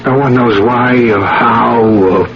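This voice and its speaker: An actor playing a grave, sympathetic man with halting, sombre delivery in a 1970s radio drama. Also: medium pitch, over music. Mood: sorrowful. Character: grave, sympathetic man breaking bad news. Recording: dull, noisy old radio broadcast.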